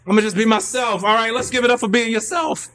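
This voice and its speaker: Young American male theater artist, bright punchy voice, loose and expressive, casual direct-to-audience talk. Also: extremely high pitch, quick pace. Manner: slangy, expressive, talks to the audience. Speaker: theater artist and guest speaker. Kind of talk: casual, freewheeling monologue.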